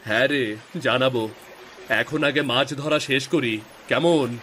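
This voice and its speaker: A man's voice: medium pitch, slow pace, pushed and bright, a little hiss or hum. A man playing a weary, regretful village fisherman in a cartoon story, lamenting and sighing. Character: weary, regretful fisherman, husband of two wives. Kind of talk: scripted cartoon story acting. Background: music.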